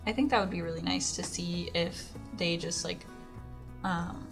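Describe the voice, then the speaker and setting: A hoarse young woman giving a chatty, rambling voice-over while she talks through her build. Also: lowish voice, steady tone, measured pace. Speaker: Sims speed-build YouTuber. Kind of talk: casual voice-over commentary. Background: background music.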